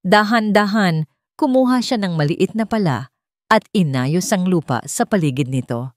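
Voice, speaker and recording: Female storyteller, slightly low voice, dry close recording, scripted sentimental romance narration. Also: animated, normal speed, no room sound.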